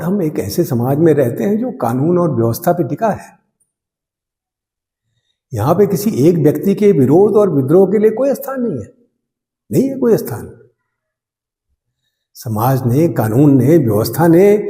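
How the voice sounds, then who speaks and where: Male defence lawyer, acted, stage courtroom monologue: high-pitched, widely swinging intonation, oratorical and bitterly ironic, ringing echoey room. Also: mellow. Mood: grave, measured. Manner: oratorical, emphatic repetition, dramatic pauses. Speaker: actor performing an audition monologue.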